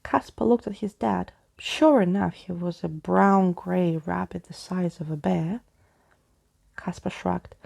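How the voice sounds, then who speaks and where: Slow, creaky-voiced woman reading softly. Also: fairly low pitch. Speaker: storybook reader. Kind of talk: soft-spoken ASMR story reading.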